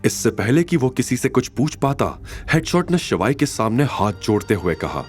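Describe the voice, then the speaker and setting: A man's voice: medium pitch, very animated, moderate pace, faint music behind. A man narrating a scripted audio drama in a dramatic storytelling style.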